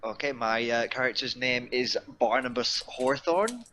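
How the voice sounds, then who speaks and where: A man casually introducing his roleplay character on a voice call, in a halting, hesitant way. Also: medium pitch, lively intonation, crowd noise. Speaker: tabletop roleplay player. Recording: faintly noisy voice call.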